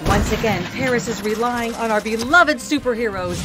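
A woman playing a brisk, polished TV news anchor in an animated game, announcing crisply and dramatically. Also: on the high side, loud music behind the voice, close to an everyday voice. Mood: brisk, dramatic. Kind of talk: cartoon television news bulletin.

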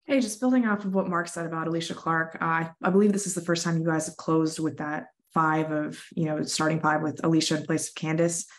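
A woman's voice: sits low, steady tone, fairly quick, hardly any pauses. A young woman working as a sports reporter, asking a question over a video call. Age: young adult. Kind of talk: press conference questioning. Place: room indoors.

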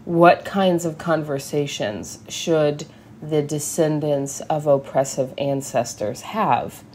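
A woman giving a scripted sermon reading, measured and deliberate, with emphatic pauses. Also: low voice, a little mellow, a little hiss or hum. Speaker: service speaker.

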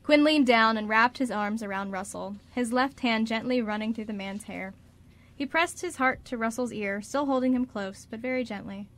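A woman narrates a radio drama from a script. Her voice sits slightly on the high side as she tells the story between the characters' lines. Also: normal speed, fairly bright tone.

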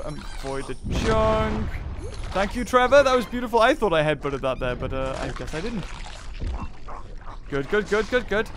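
A young man giving excitable gameplay commentary, often shouting and laughing. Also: sits high. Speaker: gaming youtuber.

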